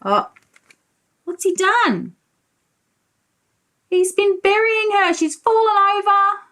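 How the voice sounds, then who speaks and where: A woman's voice: very high voice, very animated, takes it slowly, fairly bright tone. A woman preschool teacher reading a picture book aloud to young children, explaining things and asking simple leading questions.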